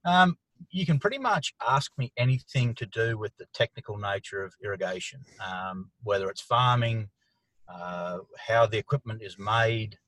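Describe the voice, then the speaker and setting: A man, from the outback, explains technical matters as a guest expert. His voice sits low, and the sound is close and dry. He talks plainly, with "um" fillers here and there. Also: lively intonation, moderate pace, fairly bright tone, dry recording, rough recording. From far western New South Wales, Australia. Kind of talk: interview answers. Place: inside a vehicle.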